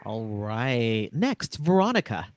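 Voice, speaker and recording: A man's voice: medium pitch, big pitch swings, slow pace, no echo. A man casually moderating a panel as its host, close on a studio mic.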